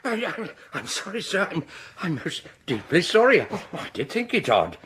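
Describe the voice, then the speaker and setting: An actor playing a polite, apologetic English manservant in a radio horror drama, earnest and hesitant. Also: male voice, high-pitched, big pitch swings, normal speed, fairly bright tone. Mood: flustered, apologetic. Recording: faintly noisy 1980s radio drama broadcast.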